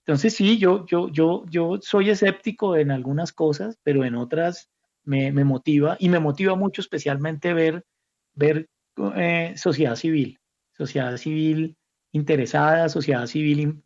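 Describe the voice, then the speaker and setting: Gravelly Colombian man, halting and reflective. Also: on the high side, darkish tone. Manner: halting, repeats words while thinking. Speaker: academic guest, institute director. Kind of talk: reflective interview conversation. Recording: slightly dull, muffled audio.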